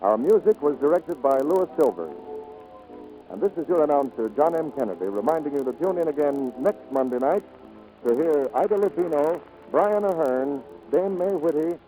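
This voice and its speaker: A man's voice: on the high side, animated, normal speed, mellow, rough recording. An American man announcing in a formal, scripted 1940s radio style on a vintage broadcast. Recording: vintage radio broadcast over faint music.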